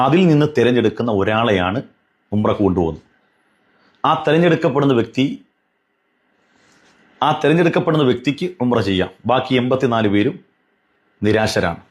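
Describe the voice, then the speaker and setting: A man's voice: medium pitch, expressive, brisk, long pauses. A man giving an admonishing religious commentary, earnest and pleading, driving points home with repetition and rhetorical questions.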